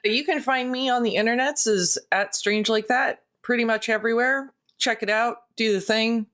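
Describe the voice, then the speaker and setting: A woman's voice: a little high, moderate pace, fairly bright tone. A woman chatting casually as a player in a tabletop game, breezy and joking.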